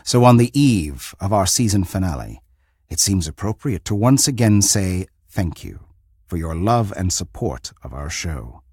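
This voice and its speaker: Very deep, husky, theatrical male host. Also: big pitch swings, a little mellow, no echo, studio quality. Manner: theatrical, formal, deliberate phrasing. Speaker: host of an audio drama anthology podcast. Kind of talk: scripted narration.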